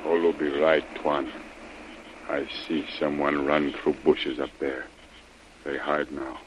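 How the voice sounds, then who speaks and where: Very deep, loyal native sidekick. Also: male voice, flat delivery, slow. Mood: deferential, wary. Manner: deferential, terse broken English. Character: loyal, watchful native male servant and sidekick. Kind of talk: old-time radio adventure acting. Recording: old radio broadcast with faint noise.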